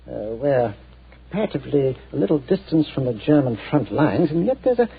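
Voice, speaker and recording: An actor playing a hearty army general in a 1940s radio drama, often hesitating with "uh". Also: male voice, medium pitch, big pitch swings, a little fast, creaky, crowd noise. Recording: tinny old radio broadcast.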